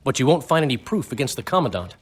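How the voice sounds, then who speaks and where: An actor playing a wry, eloquent, cynical bard in a fantasy video game, with a husky voice. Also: male voice, mid-range voice, sing-song, fast talker. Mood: wry, informing. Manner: wry, theatrical phrasing.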